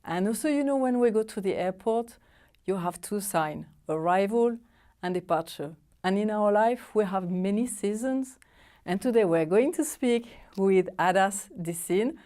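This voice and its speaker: Woman TV host, dark mellow tone, gentle and reflective, murmurs along. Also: mid-range voice, expressive, moderate pace. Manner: gentle with reflective imagery and frequent soft "mm-hmm". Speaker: TV talk-show host. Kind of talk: TV interview.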